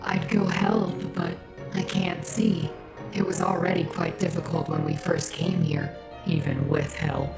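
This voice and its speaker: Woman as a creaky, sassy robot wolf. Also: a bass voice, wildly swooping pitch, a little slow, exaggerated voice. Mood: resigned. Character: sassy but fond female animatronic wolf. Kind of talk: fan comic dub acting. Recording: echoey, with loud music.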